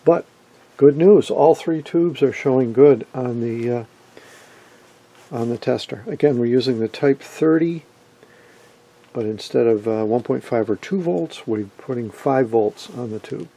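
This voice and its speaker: Mellow, gravelly middle-aged man. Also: mid-range voice, measured pace. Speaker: vintage radio hobbyist presenter. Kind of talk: casual hands-on demonstration narration. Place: indoor workshop, off camera at a workbench.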